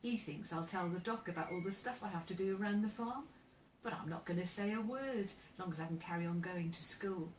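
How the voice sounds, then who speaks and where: A woman's voice: fairly low pitch, fairly quick, a little mellow, close to an everyday voice. A woman voicing a plucky, kind thirteen-year-old farm boy with an earnest, informal manner in a storybook reading. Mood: earnest, cheerful. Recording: roomy, distant mic, faint background noise.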